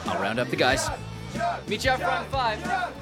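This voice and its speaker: A man playing a hesitant teenage high school athlete in an audio drama. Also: wildly swooping pitch, normal speed. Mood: hesitant, daring. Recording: music and outdoor crowd behind.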